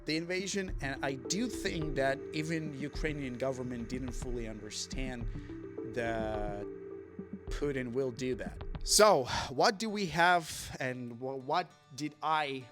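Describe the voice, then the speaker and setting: A man's voice: medium pitch, a little slow. A young man from Russia with a Russian accent, speaking in a blunt, impassioned commentary monologue that includes swearing. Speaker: vlogger. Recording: music playing under the voice. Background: music.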